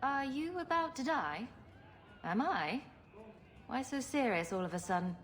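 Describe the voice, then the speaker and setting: A woman's voice: sits high, sing-song, measured pace. A woman voicing a wry, sarcastic, inquisitive female rogue adventurer in a video game. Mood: teasing.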